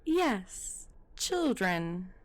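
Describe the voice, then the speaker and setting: A woman's voice: mid-range voice, very animated, drawn-out words, heavily acted. A woman playing a friendly, professional midwife in an audio roleplay, chatty and politely explaining things. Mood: informative, reassuring.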